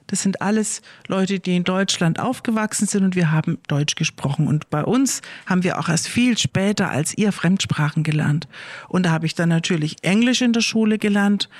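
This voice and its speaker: A middle-aged woman, a school head, calmly answering pupils' questions and explaining things slowly and clearly for children. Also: fairly low pitch, no room sound. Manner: slow, careful, clear wording for kids.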